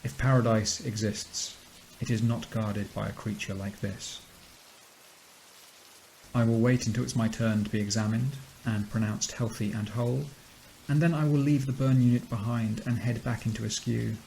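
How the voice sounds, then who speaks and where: Dry, gravelly, detached man. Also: lowish voice, fairly even intonation, normal speed, a little mellow, poor audio. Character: weary, detached man trapped in a nightmare city. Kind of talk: horror audio drama monologue. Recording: faint hiss behind the voice.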